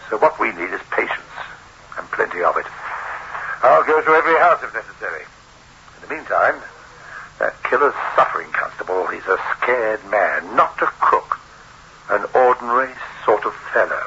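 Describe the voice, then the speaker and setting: An actor playing a stern, determined police detective in a 1960s radio drama, clipped and authoritative. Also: male voice, lowish voice, pushed and bright. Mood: grim, resolute. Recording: muffled old radio recording, faint noise.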